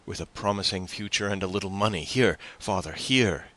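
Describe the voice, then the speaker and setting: A man's voice: deep voice, a little fast, pushed and bright, pitched above the performer's own voice. A man voicing an eager, generous young sailor in an audiobook, speaking earnestly and with animation. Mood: excited, generous.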